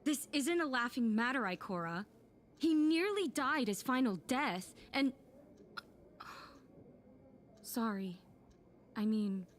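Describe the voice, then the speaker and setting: A woman's voice: a little high, animated, unhurried, slight background noise. A woman playing a feisty, impatient young female warlock in an audio drama, sarcastic and emphatic. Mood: defensive, worried.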